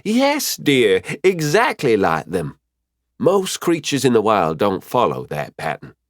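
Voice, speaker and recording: A man's voice: on the low side, wildly swooping pitch, moderate pace, dry recording, clean studio sound. A man voicing a cheerful, teasing female spirit mentor in an audiobook, chatty and fond as she explains things. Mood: explaining. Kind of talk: audiobook character voice.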